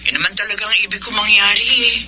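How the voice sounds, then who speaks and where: An actress playing a lonely, worried wife working overseas in a radio drama. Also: female voice, medium pitch, fairly even intonation, fast, crowd noise, natural voice. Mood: worried, sad.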